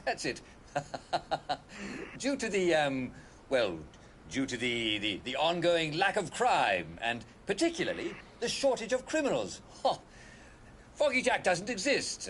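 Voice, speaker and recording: An actor playing a chirpy British broadcast host in a retro announcer style, with forced cheer, chuckles and theatrical hesitations. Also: male voice, medium pitch, a bit bright, slight background noise, heavily acted. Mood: chirpy, rambling. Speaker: broadcast presenter.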